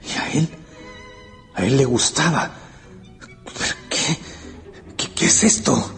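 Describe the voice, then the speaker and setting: A man's voice: medium pitch, expressive, slow, gravelly, fairly bright tone, exaggerated voice. A man playing a lovestruck, anxious young historian in a radio drama, brooding, hesitant and intense. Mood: brooding, astonished. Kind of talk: Spanish-language radio drama acting. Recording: radio play with faint music bed.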